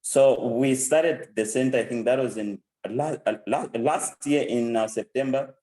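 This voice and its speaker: A man's voice: mid-range voice, measured pace, mellow, low-quality recording. A man in his twenties with a Zambian accent, giving an informal testimonial as a program alumnus. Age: 20s. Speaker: program alumnus and country director.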